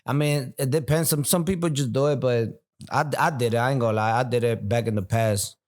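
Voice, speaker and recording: A young man, a rapper guest talking in a relaxed, slangy way. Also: medium pitch, a little fast. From Puerto Rico, raised in Philadelphia. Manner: laid-back street slang. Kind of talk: casual chat. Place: bar.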